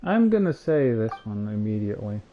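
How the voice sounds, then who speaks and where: A man's voice: on the low side, big pitch swings, brisk, slight background noise. A man streaming a game and giving casual live commentary as he plays. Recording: slightly dull stream mic.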